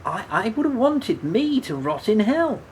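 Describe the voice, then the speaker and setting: An actor playing a subdued, guilt-ridden man in a radio play, speaking haltingly and repeating his words. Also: high-pitched, sing-song, slight background noise. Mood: agitated, remorseful. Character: guilt-ridden, self-blaming man, an ex-prisoner, subdued and troubled. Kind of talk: radio play dialogue, naturalistic.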